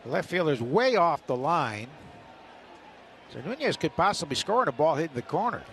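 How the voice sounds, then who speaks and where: A man's voice: a little high, sing-song, moderate pace, fairly bright tone, faint music behind. A man giving live play-by-play baseball commentary as a radio announcer. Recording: faint stadium noise on radio broadcast. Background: outdoor ballpark ambience.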